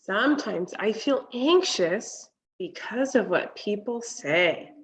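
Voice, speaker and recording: A woman in her thirties reading a picture book aloud to children, deliberate and instructional, pausing to explain and ask questions. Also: medium pitch, animated, a little hiss or hum. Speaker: read-aloud presenter for children. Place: home kitchen, indoors.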